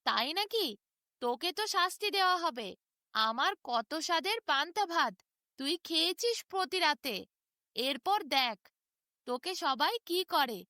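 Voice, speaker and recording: Storybook old village woman, played by a female narrator: plaintive, scolding, high and bright. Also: expressive, measured pace, dry recording, clean studio sound, full character voice. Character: aggrieved, determined old village woman who loves rice.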